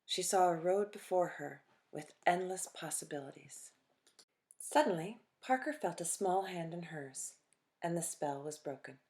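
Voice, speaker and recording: Woman, storytime reader, slightly low voice, unhurried pace, expressive read-aloud, deliberate dramatic pauses.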